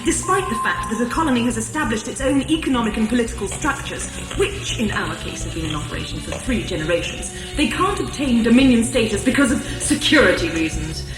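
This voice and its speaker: A woman playing a determined colonial political leader in an audio drama, with a crisp, authoritative and emphatic delivery. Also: on the high side, animated, brisk, hardly any pauses, over music, close to an everyday voice, rough recording. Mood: indignant, determined.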